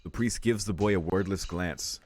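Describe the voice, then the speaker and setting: A man's voice: low voice, normal speed, a little mellow, slight background noise. A man reading a visual novel aloud as the host of a let's play.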